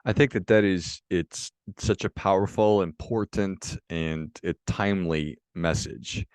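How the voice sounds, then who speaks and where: A male podcast host with a very low voice asks reflective questions and sums up his guest's ideas. His voice is recorded close and dry, with no room sound. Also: unhurried, darkish tone, clear, resonant tone, dry recording. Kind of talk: podcast interview.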